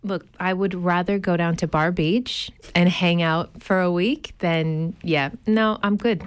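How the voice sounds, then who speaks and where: A woman's voice: on the low side, steady tone, a little slow, clean tone, no room sound. A woman in her thirties from New Jersey with a faded New Jersey accent, chatting as a radio guest, laughing often and dropping into playful whispered asides. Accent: faded New Jersey American. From New Jersey, USA. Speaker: studio guest. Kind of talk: casual radio chat.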